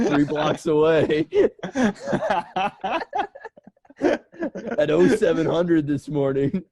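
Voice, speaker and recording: A man's voice: fairly high pitch, sing-song, drawn-out words, gravelly, a little mellow. A man co-hosting a comedy podcast, telling rambling, joking anecdotes in casual, profane banter. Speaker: podcast co-host.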